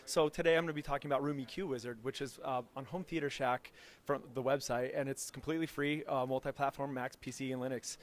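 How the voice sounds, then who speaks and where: A man's voice: medium pitch, steady tone, quick pace. A man speaking as an enthusiastic expert guest, explaining technical material informally in an interview. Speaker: acoustics lecturer, interview guest.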